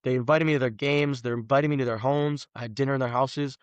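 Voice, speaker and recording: Young american-born guy on a podcast, talks fast, says like a lot, dry close sound. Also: mid-range voice, fairly even intonation, smooth, no echo. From United States, Los Angeles. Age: 20s. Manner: rambling, emphatic, many "like" fillers. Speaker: podcast guest. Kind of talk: casual conversation.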